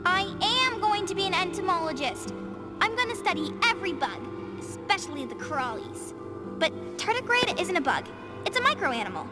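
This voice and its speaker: Very high-voiced, bossy little girl. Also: very animated, normal speed, loud music behind the voice. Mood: earnest, proud. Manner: earnest, precise, know-it-all. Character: bright, bossy, bookish little girl who loves bugs. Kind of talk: naturalistic video game acting.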